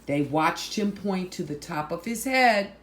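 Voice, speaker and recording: A woman reading a picture book aloud to children, phrasing deliberately and stressing words for drama. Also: fairly low pitch, expressive. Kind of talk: slow, expressive picture-book read-aloud.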